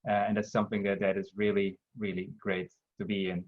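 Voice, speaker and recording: A man's voice: lowish voice, little pitch movement, fairly quick. A man with a Dutch accent introducing himself as a solutions architect on a video call, hesitant and full of fillers. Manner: hesitant, many fillers and repeated words. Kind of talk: webinar self-introduction. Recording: dull lo-fi call audio.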